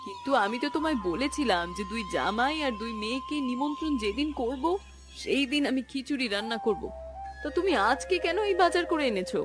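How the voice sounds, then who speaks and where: High, lively homely village housewife played by a woman. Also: a little fast, music in the background. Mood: homely, attentive. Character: caring village Bengali wife and mother, mildly scolding. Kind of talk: cartoon story dialogue.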